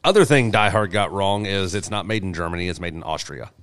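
A man's voice: low voice, fairly quick, a bit bright, no echo, studio quality. A man in casual conversation, speaking bluntly and swearing freely. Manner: profane, blunt. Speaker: podcast co-host. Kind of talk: casual chat.

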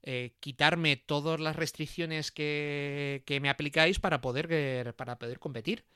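Hesitant man, bright and crisp. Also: a little high, fairly even intonation, measured pace, dry recording, clean studio sound. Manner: hesitant, many fillers and restarts. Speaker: podcast host. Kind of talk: casual chat.

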